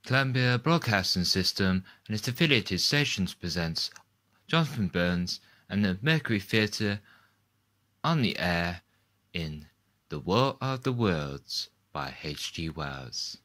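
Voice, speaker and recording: A man reading scripted radio play announcements in a formal, deliberate way. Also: deep voice, animated, pushed and bright, no room sound.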